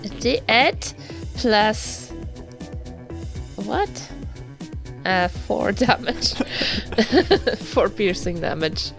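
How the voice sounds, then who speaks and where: A woman with a New Zealand accent chatting casually as a tabletop game player, halting as she thinks aloud. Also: mid-range voice, very animated, gravelly. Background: background music.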